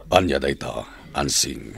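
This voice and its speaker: Earnest male prisoner for radio soap, gravel-toned, widely swinging intonation. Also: very deep voice, animated, measured pace, exaggerated voice. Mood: earnest, grateful. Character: deep-voiced male prisoner named Leon, earnest and courteous. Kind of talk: radio drama acting in Ilocano. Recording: music bed behind dialogue.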